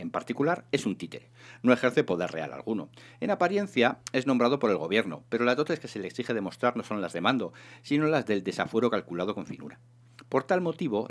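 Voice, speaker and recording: A man reading a humorous science fiction novel aloud in an expressive, theatrical narration. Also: lowish voice. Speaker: reader of a serialized humorous sci-fi novel.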